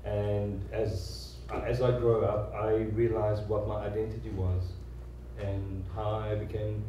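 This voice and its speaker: A man from South Africa in his thirties, a guest telling his life story in an interview, earnest and halting, with many restarts. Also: lowish voice, level tone, warm tone, slight background noise. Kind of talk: conversational oral-history interview. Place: large echoey room, seated on stage. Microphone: handheld mic. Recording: roomy hall sound, mic picked up from a distance.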